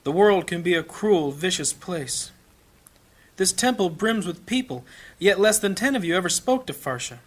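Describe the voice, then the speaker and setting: A man's voice: high voice, expressive, normal speed. A man playing a grave, blunt fantasy priest who preaches in an oratorical, questioning way in an audio drama. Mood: grave, bitter. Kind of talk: dramatic funeral sermon.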